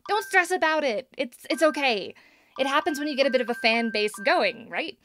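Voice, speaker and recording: An actress playing a young podcaster and scriptwriter, earnest and unsure of herself, talking in a conversational, hesitant way in a naturalistic audio drama. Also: female voice, high voice, expressive, brisk, sharp tone, a little hiss or hum. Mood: amused. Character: Helena, young woman podcaster and scriptwriter, self-doubting, earnest.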